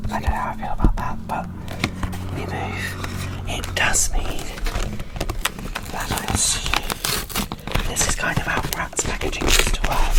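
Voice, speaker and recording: A woman whispering an ASMR unboxing commentary in a chatty, informal, gushing way. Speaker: video creator presenting a doll unboxing.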